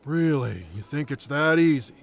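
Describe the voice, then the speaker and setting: A man playing a grumpy, skeptical adventurer in a fantasy audio drama, blunt, gruff and grudging. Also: on the high side, lively intonation, moderate pace.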